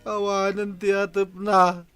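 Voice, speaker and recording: An actor playing an excitable, whiny village drinker in a Filipino radio comedy drama. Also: male voice, high voice, a little slow, over music. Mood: lamenting. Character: excitable drinking village husband with a battered house.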